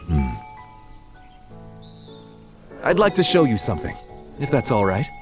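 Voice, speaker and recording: An actor playing a gentle, formal meadow spirit in an audio drama, speaking softly and tentatively. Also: male voice, mid-range voice, wildly swooping pitch, a little fast, music in the background. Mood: earnest. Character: gentle meadow spirit, male-voiced, shy.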